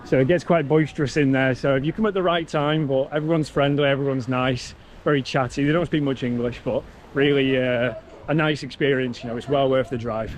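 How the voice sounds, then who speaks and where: A man's voice: mid-range voice, fairly even intonation, brisk. Chatty young man outdoors. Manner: chatty, enthusiastic, repeats words like "really" and "super". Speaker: travel vlogger. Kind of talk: casual travel vlog chat. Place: outdoors at hot spring pools and on a motorbike. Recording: outdoor wind and water noise.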